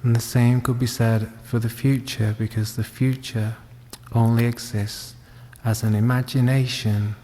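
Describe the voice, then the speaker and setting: A man leading a guided meditation, speaking gently and leaving long pauses. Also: mid-range voice, flat delivery. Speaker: meditation teacher. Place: reverberant room at a wellness centre. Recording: long hall-like reverb.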